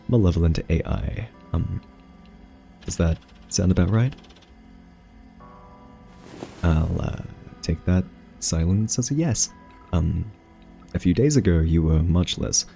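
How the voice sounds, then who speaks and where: Man acting as quirky male scientist, ASMR roleplay, soft-spoken, slow and hesitant, dark warm tone, gently patronizing. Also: deep voice, vocal fry, heavily acted. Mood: awkwardly friendly, then cautiously persuasive. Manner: hesitant, halting, politely condescending.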